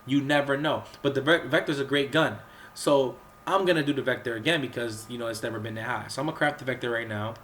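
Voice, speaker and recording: Man, mid-pitched voice, sick with a cough, throat clearing, rambling casual game commentary, faint background hiss. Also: fast, fairly bright tone. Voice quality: sounds a bit off from a cold. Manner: rambling, with many fillers and restarts. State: under the weather, coughing and clearing his throat. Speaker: gaming channel host. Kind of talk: casual gameplay walkthrough commentary.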